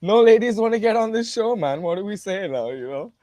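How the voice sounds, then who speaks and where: A man's voice: high-pitched, animated, a little fast, dark tone, dry recording, studio quality. Laid-back man bantering casually. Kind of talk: casual live-stream chat.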